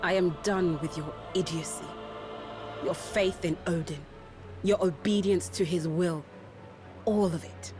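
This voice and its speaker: Scornful, slow-talking rival warrior woman played by an actress. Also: fairly low pitch, fairly even intonation, lightly acted. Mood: cold contempt. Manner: disdainful, theatrical, deliberate. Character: scornful, defiant female rival warrior, weary and menacing. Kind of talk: dramatic fantasy video game acting. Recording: loud orchestral music behind voice.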